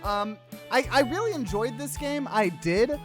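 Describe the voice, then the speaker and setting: Gamer doing a let's play, chatty and rambly, really lively pitch, bit of game music behind. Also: a little slow. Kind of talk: casual gaming livestream commentary.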